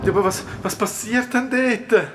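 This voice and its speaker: A man's voice: extremely high pitch, normal speed, background noise. A man playing a flustered, well-meaning young adventurer in a Swiss German children's audio drama, hesitant and repeating words. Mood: alarmed.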